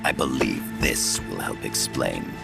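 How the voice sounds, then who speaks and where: A man playing an older, scheming Soviet KGB agent in a game cutscene, with a gravelly voice and measured, formal delivery. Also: very deep voice, lively intonation, over loud music, heavily acted. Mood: measured, sly. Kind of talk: dramatic game cutscene acting.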